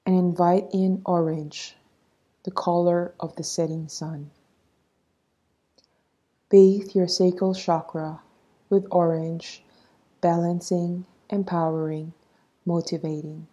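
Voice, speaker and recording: A woman leading a guided meditation in a soft, breathy voice, soothing and measured as she gives instructions. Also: lowish voice, level tone, muffled recording.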